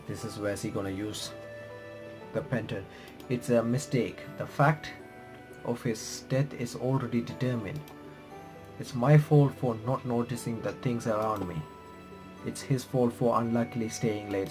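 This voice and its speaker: A man's voice: medium pitch, darkish tone. Man reading game text aloud over music. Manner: halting reading with stumbles and self-corrections. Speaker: gaming reaction streamer. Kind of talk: reading visual novel text aloud with commentary. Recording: music playing behind the voice.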